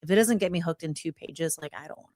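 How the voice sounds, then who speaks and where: A woman's voice: low-pitched, very fast, crowd noise. A woman co-hosting a podcast in casual chat, chatty and hesitant, with many "um" and "like". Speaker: podcast co-host.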